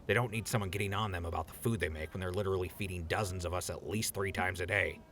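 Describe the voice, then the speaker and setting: A man's voice: low-pitched, steady tone, fast, fairly bright tone, a little hiss or hum. A man playing a wry, needling but sensible ghoul in a post-apocalyptic audio drama, casual and sardonic. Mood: exasperated, coaxing.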